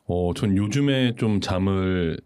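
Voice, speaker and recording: A man co-hosting a casual chat, replying calmly in short, agreeable turns. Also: sits low, takes it slowly, clear, resonant tone. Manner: brief agreeing replies, calm.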